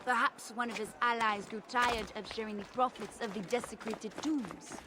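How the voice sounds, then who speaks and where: A female actor voices a composed ancient Egyptian priestess in a game. Her voice is slightly high, formal and measured. It echoes in a hall. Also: steady tone, natural voice. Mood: guarded, cool. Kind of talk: video game cutscene acting. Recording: echoey temple-like hall, clear background noise.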